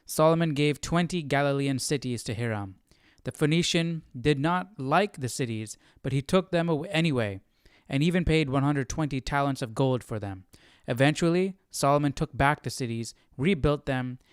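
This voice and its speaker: A man's voice: mid-range voice, smooth, no echo, studio quality. A man hosting a livestreamed study session, musing aloud informally and reading from notes. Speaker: host of a Bible study livestream. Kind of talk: casual live study commentary, thinking aloud and reading notes.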